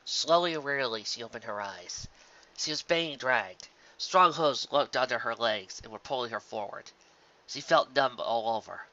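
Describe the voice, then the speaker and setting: A dull, lo-fi recording of a man narrating a story. His voice sits at a middle pitch with a bright, forceful edge, and he reads fan fiction expressively. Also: normal speed. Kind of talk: expressive story narration with occasional casual asides.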